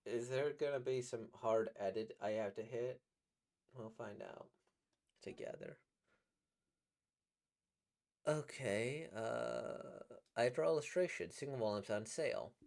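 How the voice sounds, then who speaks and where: Slightly squeaky man rambling playfully. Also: slow, creaky, pauses often, darkish tone. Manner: rambling, playful, laughs at his own jokes. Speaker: voice actor reacting to comics. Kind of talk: casual reaction commentary.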